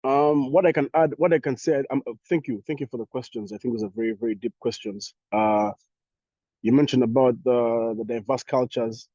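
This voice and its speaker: A young Ugandan man speaking English with a Ugandan accent, answering thoughtfully as a panelist in an online discussion, with many pauses and restarts. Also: mid-range voice, dark tone, dry recording, lo-fi sound.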